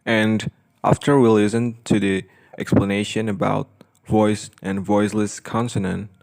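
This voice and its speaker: Slow-speaking young man. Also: lowish voice, flat delivery, creaky, a little mellow. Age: young adult. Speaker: student presenter. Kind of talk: scripted lesson narration.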